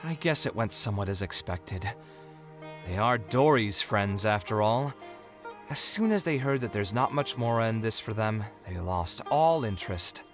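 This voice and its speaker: A man's voice: on the low side, lively intonation, normal speed, faint music behind, close to an everyday voice. A man voicing an idealistic, dramatic and easily flustered young architect in a video game, earnest and exasperated. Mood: weary, resigned. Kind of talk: video game character acting.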